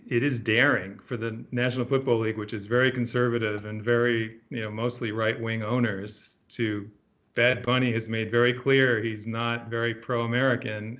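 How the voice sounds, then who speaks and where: A middle-aged man from Puerto Rico, a physician being interviewed, speaking in an earnest, thoughtful way with frequent pauses and restarts. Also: medium pitch, fairly bright tone, highs cut off. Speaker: guest, emergency physician. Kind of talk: reflective interview conversation.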